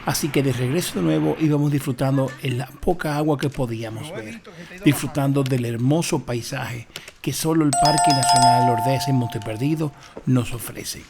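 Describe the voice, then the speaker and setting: A man's voice: medium pitch, normal speed, creaky, a loud crowd. A Dominican man with a Dominican Spanish accent hosts a travel vlog, narrating in dramatic, sentimental phrasing. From Dominican Republic.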